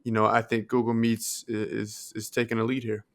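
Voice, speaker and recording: A man's voice: lowish voice, monotone, moderate pace. An American man co-hosting a podcast, explaining his views on tech in a casual, earnest way. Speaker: podcast co-host.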